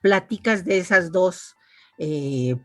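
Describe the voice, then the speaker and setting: Slow, low-voiced woman host. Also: animated, dry recording, clean studio sound, soft background music. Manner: warm, chatty, reads a guest's résumé aloud with asides. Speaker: interviewer and host. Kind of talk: online interview. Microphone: video call.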